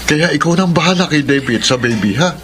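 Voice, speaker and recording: A man's voice: fairly high pitch, lively intonation, a little fast, background noise, close to an everyday voice. A man playing a bewildered, forgiving betrayed partner in a Filipino radio soap, earnest and questioning, with a Filipino accent. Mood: calm, forgiving.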